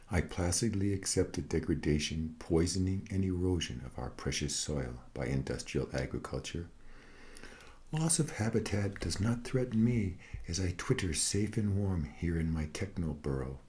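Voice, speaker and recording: A man gives a scripted dramatic recitation, a mock-meditative monologue in a solemn, deliberate, wryly serene manner. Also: deep voice, a little mellow. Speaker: reader of own satirical prose piece.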